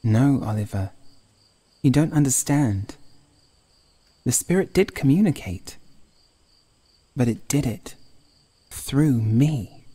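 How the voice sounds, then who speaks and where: A man's voice: mid-range voice, animated, slow, pauses often, warm tone, slightly rough, voice pushed up. A man voicing a grieving, desperate young woman who believes in spirits, pleading and breathless, in a horror audiobook. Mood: earnest, insistent. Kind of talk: horror audiobook character dialogue.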